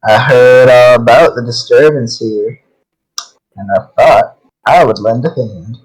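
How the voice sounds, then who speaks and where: A man voicing a swaggering, friendly cowboy gunslinger, with a loud, drawling delivery and a folksy manner. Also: mid-range voice, a little slow, breathy edge, rough recording. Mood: boastful. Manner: folksy twang, calls people partner.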